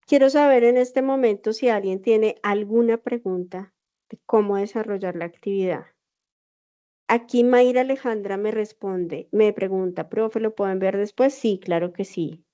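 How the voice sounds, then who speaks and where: A woman's voice: mid-range voice, moderate pace, mellow. Bogota teacher lady on a video call, patient, keeps asking "¿sí?", kinda muffled sound. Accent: Bogotá Spanish. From Colombia. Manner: patient, explanatory, checks with "¿sí?". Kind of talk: online class instruction. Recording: dull lo-fi video call audio.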